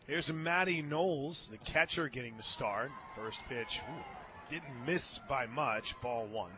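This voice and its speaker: An American man doing live radio play-by-play of softball, chatting and joking between pitch calls from a ballpark booth. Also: takes it slowly, noisy background. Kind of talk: live softball commentary with casual banter.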